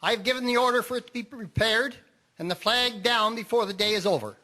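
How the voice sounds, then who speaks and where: A man playing a gruff, irritable army major in a radio drama, blustering and indignant. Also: high voice, brisk, bright, forceful tone. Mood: defensive. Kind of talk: amateur radio drama acting.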